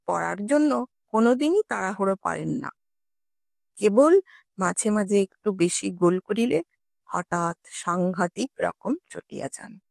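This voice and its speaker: A woman narrating a scripted story expressively, in a measured storytelling manner with formal literary diction. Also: medium pitch, vocal fry, no echo. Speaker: audiobook narrator.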